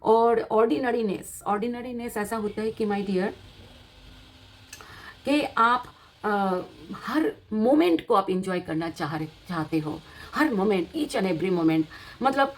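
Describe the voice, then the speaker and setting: A woman's voice: mid-range voice, slow, a little hiss or hum, poor audio. A woman with an Indian accent and a slightly worn voice gives an informal tarot reading, explaining each point in a teacherly, encouraging way and often repeating and rephrasing it. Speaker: tarot card reader and host.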